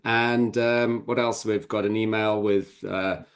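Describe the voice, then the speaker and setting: A man's voice: on the low side, level tone, a little slow. A man chatting casually as a podcast guest, rambling a little, with a hesitant, self-deprecating manner.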